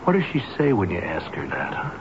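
An actor playing a kindly, folksy friend in a radio drama, hesitant and stammering, full of sympathy and concern. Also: male voice, sits low, huge pitch swings, quick pace, exaggerated voice. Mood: reassuring. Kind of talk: 1970s radio drama acting. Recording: noisy muffled old radio broadcast recording.

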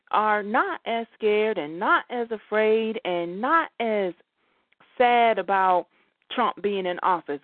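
A dry, phone-like sound carries a woman's mid-pitched voice. She hosts a radio talk show, chatting conversationally and speaking straight to her audience. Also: no room sound. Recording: dry, thin phone-like line.

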